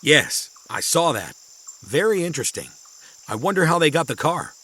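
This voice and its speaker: A man playing a grave, secretive professor in a kids' audio drama, speaking in a measured, cryptic and ominous way. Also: a little high, big pitch swings, pushed and bright, slightly rough, exaggerated voice. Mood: measured, intrigued.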